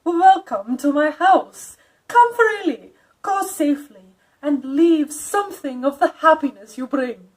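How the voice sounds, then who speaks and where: A woman voicing a tall, courtly old male vampire count in a slow, grand manner, with an absurd accent that keeps changing. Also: high-pitched, animated, voice pushed up, poor audio. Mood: grand welcome.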